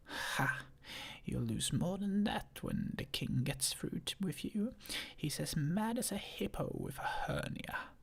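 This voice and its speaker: Reader whispering a prim pompous bird, fussy and indignant, husky and slow. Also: medium pitch, sing-song, pitched below the performer's own voice, exaggerated voice. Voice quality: breathy whisper. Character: fussy male hornbill majordomo, pompous.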